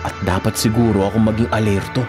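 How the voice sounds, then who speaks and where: A man acting an earnest, lovestruck young boyfriend in a radio drama, expressive and prone to stammering when startled. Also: on the low side, fast, over music, close to an everyday voice. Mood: puzzled, uneasy.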